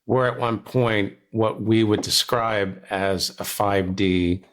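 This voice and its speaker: Man, podcast host, lowish voice, curious questions, easygoing chat, light joking. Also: fairly even intonation, slow pace. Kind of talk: interview podcast.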